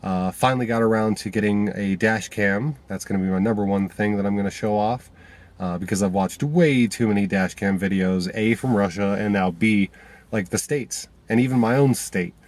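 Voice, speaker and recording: A man's voice: on the low side, normal speed, a little mellow. A man vlogging from inside his car, stuffy from a cold, talking casually to the camera with lots of fillers and restarts. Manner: rambling, many fillers and restarts.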